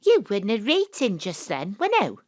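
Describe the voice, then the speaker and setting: An actress playing a bossy, exasperated Welsh private investigator, insistent and bantering, in a comic audio drama. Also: female voice, mid-range voice, wildly swooping pitch, normal speed, dry recording, clean studio sound.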